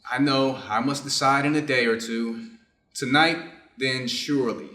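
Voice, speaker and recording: A man's voice: medium pitch, fairly even intonation, a little slow, sharp tone. A man playing a reserved, conflicted Japanese doctor in a staged reader's theater drama, formal and guarded in his phrasing. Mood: guarded, uneasy. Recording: roomy, echoing space.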